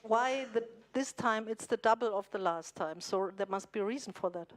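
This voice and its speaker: A woman's voice: medium pitch, moderate pace. A woman, a newspaper reporter asking direct but hesitant questions at a press conference.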